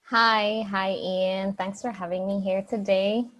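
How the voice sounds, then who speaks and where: Young woman, podcast guest, chatty storyteller with fillers, mid-pitched voice. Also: flat delivery, slow, fairly bright tone, lo-fi sound. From Jamaica. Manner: chatty, frequent restarts and fillers. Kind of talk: casual interview answers.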